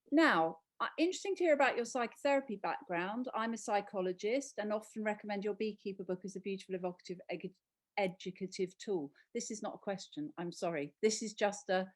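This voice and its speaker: A woman's voice: mid-range voice, moderate pace. A woman moderating a public conversation, relaying questions in an informal, chatty way and often breaking off mid-thought. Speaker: interviewer, event moderator.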